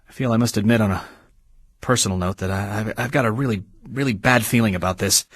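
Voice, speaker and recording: An actor playing a troubled young soldier giving a halting mission debrief in a video game audio log. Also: male voice, lowish voice, breathy edge, dry recording, clean studio sound. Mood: troubled, hesitant. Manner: halting, hesitant, searching for words.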